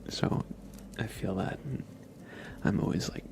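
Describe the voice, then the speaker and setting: A man hosting an ASMR livestream, chatting casually and gently to viewers in a soft breathy whisper, close to the microphone. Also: slow, a little hiss or hum, poor audio. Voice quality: breathy whisper with heavy fry.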